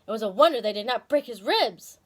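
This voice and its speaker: Animated, higher-voiced woman storyteller. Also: brisk. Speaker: storyteller and video host. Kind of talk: expressive children's story reading with casual asides.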